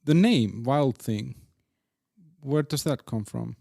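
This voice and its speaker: Halting man hosting a casual, dry-sounding interview. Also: medium pitch, animated, vocal fry, dark tone, no echo. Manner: halting, joking, informal. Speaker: podcast interviewer, host.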